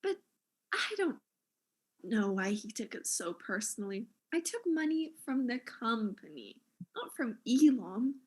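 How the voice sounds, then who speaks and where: Casting: woman as vain fashion mogul for comic audio mystery, higher-pitched, theatrical, condescending, flippant. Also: big pitch swings, measured pace, darkish tone, slightly rough, exaggerated voice. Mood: indignant, boastful.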